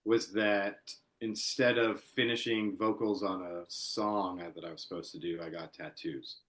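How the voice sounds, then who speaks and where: A man's voice: sits low, steady tone, normal speed, fairly bright tone. A man, a guest editor and writer, talking earnestly and reflectively in a casual interview chat.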